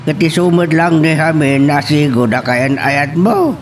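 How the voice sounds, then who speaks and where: A man's voice: a little high, animated, unhurried, slight background noise. A man playing a caring, fussing father of a grown daughter in an audio drama. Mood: concerned.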